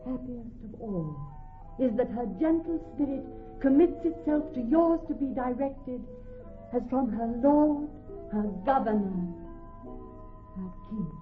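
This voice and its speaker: A woman's voice: sits high, expressive, measured pace. An actress playing a devoted, decisive young noblewoman in a classical radio drama, speaking formal verse. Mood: tender, devoted. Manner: formal, eloquent verse delivery. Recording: tinny, band-limited vintage radio, music behind.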